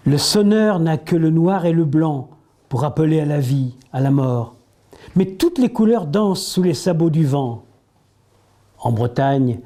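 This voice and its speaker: A man reciting poetry in a solemn style, with measured, deliberate phrasing and pauses between the lines. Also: medium pitch, dark tone. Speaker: poetry reader.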